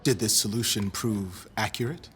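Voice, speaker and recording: A man's voice: on the low side, moderate pace, a bit bright, husky. A man playing a stern, pompous inquisitor at an academic hearing in an audio drama. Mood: formal, probing. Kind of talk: formal audio drama interrogation.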